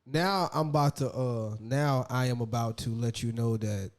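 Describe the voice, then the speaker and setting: A slangy man co-hosting a casual podcast, speaking in animated back-and-forth banter. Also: mid-range voice, normal speed. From northern United States. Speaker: podcast co-host.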